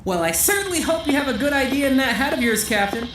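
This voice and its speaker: A woman's voice: sits high, animated, fast talker, over music. A woman voicing a cheeky, silly droid sidekick in a sci-fi audio drama, jokey and full of exclamation. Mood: mock indignant. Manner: jokey, exclamatory, childlike enthusiasm. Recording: echoey, with background noise.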